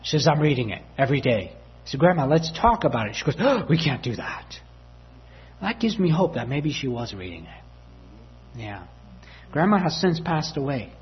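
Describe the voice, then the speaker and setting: Man, preacher, animated storytelling sermon, lively swooping pitch, muffled lo-fi audio. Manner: animated, acts out family dialogue with voices and laughs.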